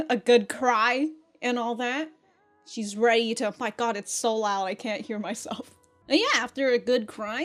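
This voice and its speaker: A woman hosting a reaction show, commenting casually in an animated, exclaiming way. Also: on the high side. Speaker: host of an anime reaction show.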